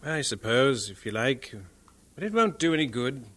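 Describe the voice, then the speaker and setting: An actor playing an earnest, self-doubting young English missionary in an audio drama. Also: male voice, mid-range voice, big pitch swings, normal speed, heavy background noise, close to an everyday voice. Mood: doubtful.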